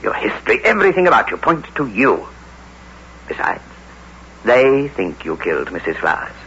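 A dull, hissy old radio recording carries a sinister coven-leading husband. He is commanding and coldly taunting, with a bright, forceful edge and deliberate, widely swinging delivery. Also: mid-range voice, big pitch swings. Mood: gloating, menacing. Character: menacing husband, master of a witches' coven. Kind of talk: old radio drama acting.

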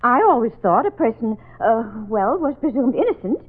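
A prim, huffy old aunt. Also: female voice, fairly high pitch, sing-song, normal speed, husky. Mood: indignant. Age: older. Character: prim, indignant older spinster aunt. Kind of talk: 1940s radio acting. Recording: tinny old radio broadcast.

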